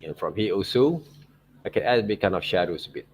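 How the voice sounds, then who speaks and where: A man giving an unscripted step-by-step tutorial as an art teacher, halting and repetitive, often checking in with short tag words. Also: low-pitched, animated, breathy edge. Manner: halting, repetitive phrasing with frequent "okay, right, see" checks. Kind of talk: unscripted step-by-step drawing demonstration.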